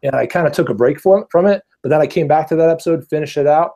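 A man in his thirties on an earbud mic over a video call, explaining things in a relaxed, measured way as a podcast guest. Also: a little high. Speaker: podcast guest, gun channel creator. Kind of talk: casual podcast chat. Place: small room at home, indoors.

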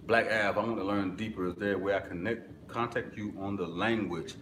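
Middle-aged male host with a deep voice, blunt and instructive, live-streaming from an echoey office on a camera mic. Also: fairly even intonation, fairly quick, fairly bright tone, slight background noise. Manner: direct, instructive, answers viewer comments. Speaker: music business webinar host. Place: small office with corkboard, indoors.

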